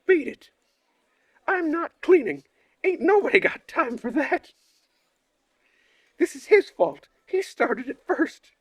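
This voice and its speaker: A man voicing a bratty, defiant teenage girl in a brash, whiny, squeaky falsetto for a visual novel. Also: very animated, slow, long pauses, no room sound, highs cut off, full character voice. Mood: indignant.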